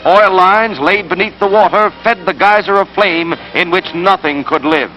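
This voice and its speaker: Newsreel narrator: American male, high pitch, emphatic scripted delivery, prominent score underneath on an old soundtrack. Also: normal speed, muffled recording. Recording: old 1940s newsreel soundtrack, loud music. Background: loud orchestral newsreel score.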